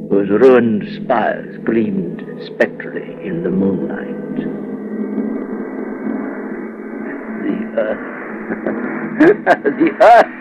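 An actor playing a tormented, lonely outcast in a gothic radio drama, speaking in a halting, theatrical way that swings between hushed awe and ecstatic cries. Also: male voice, mid-range voice, noisy background, heavily acted. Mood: wild elation. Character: tormented lonely male outcast escaping into the world, awestruck and feverish. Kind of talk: dramatic old-time radio monologue, gothic horror reading. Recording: dull lo-fi vintage radio, music behind. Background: dramatic music.

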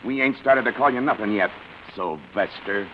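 An actor playing a bullying, sneering police detective in a 1940s radio crime drama, taunting and menacing in slangy speech. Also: male voice, lowish voice, normal speed, natural voice. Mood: accusing. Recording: muffled 1940s radio recording, light noise.

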